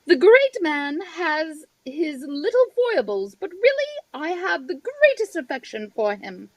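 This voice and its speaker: A gracious lady author, played by a woman giving a radio address. The voice is high and lilting, slow and measured, with a dry close sound. The manner is stately and formal. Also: big pitch swings, no echo, low-quality recording. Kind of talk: old-time radio scripted address.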